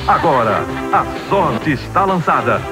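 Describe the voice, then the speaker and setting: A man's voice: fairly high pitch, big pitch swings, a little slow. A Brazilian man reading a dramatic scripted soap opera trailer as a television promo announcer. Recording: loud music under 1990s TV promo. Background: loud music.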